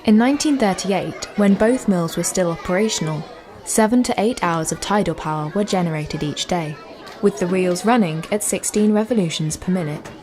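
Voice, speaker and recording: A woman's voice: fairly low pitch, moderate pace, darkish tone, a faint crowd. A woman giving scripted factual narration, like a guide.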